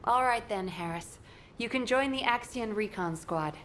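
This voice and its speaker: A woman's voice: fairly high pitch, expressive, moderate pace, fairly bright tone, a little hiss or hum. A woman playing a composed, authoritative military squad leader in a video game. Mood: brisk, formal.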